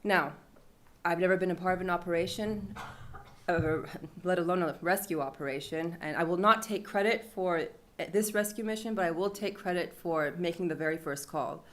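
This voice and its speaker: A woman's voice: fairly low pitch, moderate pace, fairly bright tone. A young woman recounting a personal story at a panel event, casual and conversational with fillers. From Iran. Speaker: panel speaker.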